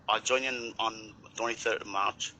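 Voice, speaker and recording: A man's voice: on the low side, fairly even intonation, measured pace, sharp tone, a little hiss or hum, poor audio. A man from Nepal, a network marketer, talking earnestly in a casual group chat and often repeating his words.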